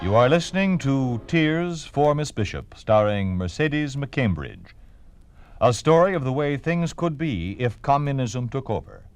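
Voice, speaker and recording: A man's voice: mid-range voice, lively intonation, a little slow, smooth. A man announcing an old-time radio drama in a dramatic scripted style.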